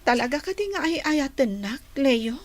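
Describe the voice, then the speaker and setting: An actress with an Ilocano accent playing a coy, tender young maiden in love in a radio drama. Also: female voice, high-pitched, lively intonation, normal speed.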